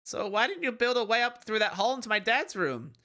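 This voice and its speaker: A man doing an eager young teen boy, voice pitched high. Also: fast. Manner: excited, pushy questions.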